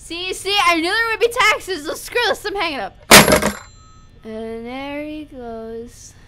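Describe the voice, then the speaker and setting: A brash, high-voiced young drunk played by a woman in a comic dub. She is sarcastic, mocking and defiant, with clear noise on the recording. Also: unhurried. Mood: exasperated, bitter. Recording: noisy clip with clicks.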